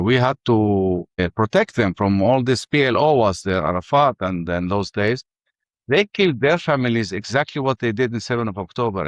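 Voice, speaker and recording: An older man with an Arabic accent telling his life story in halting English full of restarts. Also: lowish voice, no echo. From Ramallah. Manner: halting, many restarts and fillers. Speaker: interview guest. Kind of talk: personal testimony.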